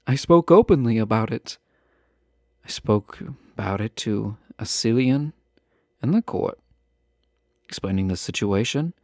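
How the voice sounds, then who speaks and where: A refined male fantasy ruler, played by a man, speaking slowly in a close, dry sound. His diction is formal and measured, with wistful pauses. He carries wounded pride under a teasing courtesy, as in a romantic audio drama. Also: on the low side, lively intonation, darkish tone, no echo. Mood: earnest, wistful. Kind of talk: scripted romantic audio roleplay.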